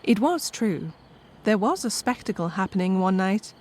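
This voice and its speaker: A woman's voice: medium pitch, expressive, moderate pace, a little mellow. A woman narrating a scripted comic story with wry, conversational asides to the listener.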